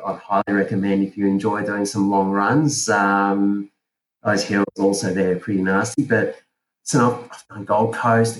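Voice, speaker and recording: A man from South Africa, a podcast guest talking casually and hesitantly, with many fillers and restarts. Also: fairly low pitch. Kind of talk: casual interview chat. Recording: roomy with faint ambient noise.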